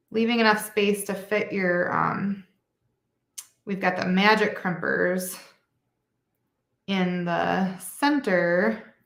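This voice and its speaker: Congested, nasal woman, middle-aged, unhurried live craft-demo delivery, some vocal fry, roomy echo. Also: lowish voice, a bit bright. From Michigan. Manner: chatty, with small laughs and self-corrections. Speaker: beading instructor.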